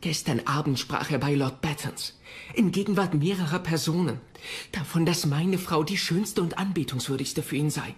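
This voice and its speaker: A man's voice: on the high side, moderate pace, lightly acted. A man playing an anxious, deferential English navy official in a period docudrama dub, polite and hesitant. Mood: worried, deferential. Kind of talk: dubbed period docudrama acting.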